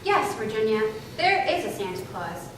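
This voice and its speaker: A woman's voice: a little high, sing-song, normal speed. A woman reciting scripted lines as a readers theater performer. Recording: echoey hall, distant mic, noisy.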